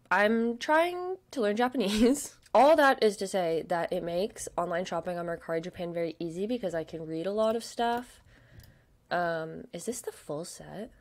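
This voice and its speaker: A young woman with a stutter, chatting casually at her desk about shopping, with lots of fillers and soft murmured asides. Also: medium pitch. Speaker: vlogger. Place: home desk.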